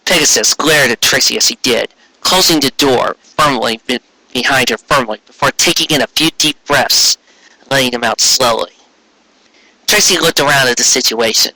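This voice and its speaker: A man's voice: mid-range voice, bright, forceful tone, no room sound, low-quality recording. A man narrating fan fiction aloud as an amateur reader, stumbling over words and correcting himself.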